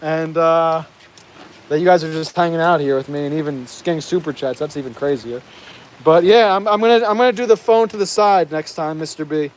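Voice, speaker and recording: A man's voice: a little high, fairly quick. An American man chatting casually on a live stream outdoors, reading out viewer comments, laughing often and tripping over his words. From United States. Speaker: vlogger reading live chat. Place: outdoors in the rain. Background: rain falling.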